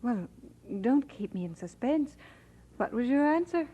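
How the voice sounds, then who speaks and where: Poised woman, slightly high voice. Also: lively intonation, measured pace, slightly rough, narrow, tinny audio, heavily acted. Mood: curious. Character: poised, gently teasing women: church secretary, pastor's bride, narrator. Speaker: actress in an audio drama. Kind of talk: dramatised radio-play acting.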